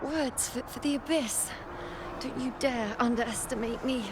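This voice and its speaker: A woman playing a proud young priestess and oracle in a video game, speaking in a deliberate, theatrical way. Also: a little high, background noise, lightly acted. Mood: defiant, proud.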